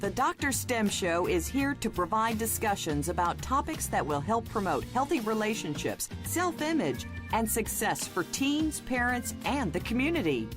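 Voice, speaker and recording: Lively woman announcer over music. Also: a little high, moderate pace, hardly any pauses. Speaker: show announcer. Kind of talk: scripted intro narration.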